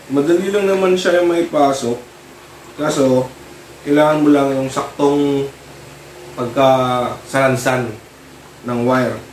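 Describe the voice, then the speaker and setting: A Filipino man giving a casual hands-on tutorial, talking informally in short, halting phrases as he works. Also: mid-range voice, fairly even intonation. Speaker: vlogger demonstrating product installation. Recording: roomy, distant mic with clear noise.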